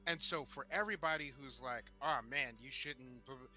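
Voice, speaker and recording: A man's voice: mid-range voice, brisk, pushed and bright, faint music behind. A man co-hosting a podcast, chatting casually in conversational discussion. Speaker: podcast co-host. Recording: dull, lo-fi voice audio.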